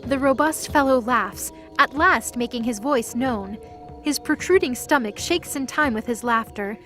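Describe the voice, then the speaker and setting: High-voiced woman narrating an audio drama. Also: expressive, unhurried, a bit bright, soft background music. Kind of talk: scripted story narration.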